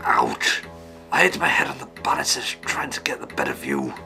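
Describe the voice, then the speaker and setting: A man's voice: mid-range voice, wildly swooping pitch, normal speed, creaky, bright, forceful tone, faint music behind, full character voice. An actor playing a polite, earnest and anxious Welsh father in a radio play. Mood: hushed, urgent. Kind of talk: period radio play acting.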